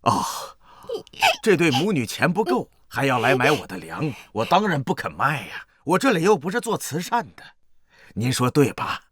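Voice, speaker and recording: An actor playing an arrogant middle-aged grain merchant in a costume drama, sneering, pompous and cruel to the poor. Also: male voice, mid-range voice, very animated, moderate pace, fairly bright tone, husky, no room sound, studio quality. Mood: smug, taunting.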